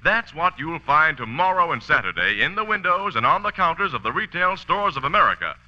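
A man's voice: a little high, sing-song, fairly quick, bright, forceful tone. A man reading a 1940s radio advertisement as an emphatic, polished commercial announcer. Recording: dull vintage radio with faint music.

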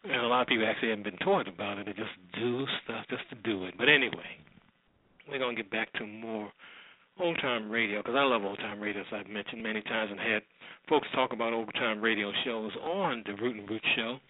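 A man's voice: lowish voice, brisk, dry recording. A man hosting a radio show in casual, informal chat, rambling along with asides. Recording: very muffled, phone-like narrow sound.

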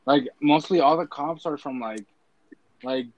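A man's voice: on the high side, a little slow, darkish tone, no echo, lo-fi sound. A young man of Mexican family, talking casually in a rambling way with lots of bro and dude. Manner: rambling, filler-heavy, says bro and dude. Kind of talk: casual chat.

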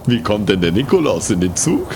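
A man's voice: fairly low pitch, sing-song, fairly quick, noisy background. A man improvising as an adventurer in a comic audio play, with playful, theatrical delivery.

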